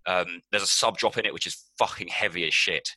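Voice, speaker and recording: A man's voice: low-pitched, brisk, pushed and bright, dry recording, rough recording. A man co-hosting a casual music podcast, rambling and swearing with lots of ums. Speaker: podcast co-host.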